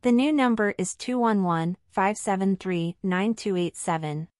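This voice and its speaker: A woman's voice: mid-range voice, unhurried, darkish tone, no echo, clean studio sound, lightly acted. A woman playing a polite married citizenship applicant in a scripted practice interview, answering formally and carefully in full sentences. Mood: polite, composed.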